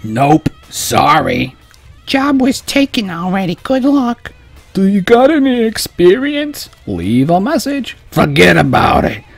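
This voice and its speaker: A man's voice: sits high, sing-song, takes it slowly, creaky, dry recording, faint music behind, voice pushed up, heavily acted. A man reading aloud and putting on the voices of curt strangers who brush off a job seeker's calls. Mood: brusque. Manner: brusque, dismissive.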